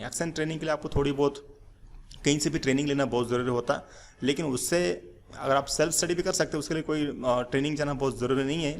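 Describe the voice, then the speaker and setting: A man's voice: medium pitch, a little hiss or hum. A man teaching English in a rambling, filler-heavy way, walking through slides. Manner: rambling with many fillers and restarts. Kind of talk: slide-based lesson.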